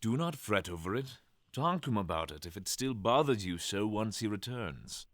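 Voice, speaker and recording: Slightly deep man as a formal half-dragon. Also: expressive, moderate pace, a bit bright, dry recording, clean studio sound. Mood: sensible, reassuring. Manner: formal, precise, reassuring. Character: formal, sensible half-dragon male companion. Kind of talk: audio drama acting.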